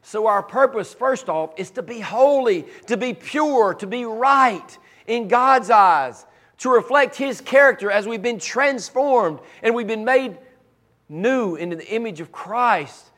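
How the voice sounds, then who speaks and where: High-voiced raspy preacher man. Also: expressive, measured pace, fairly bright tone. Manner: fervent, building to emphatic repeated lines. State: raspy voice from cheering and allergies. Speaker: pastor. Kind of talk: preaching a sermon.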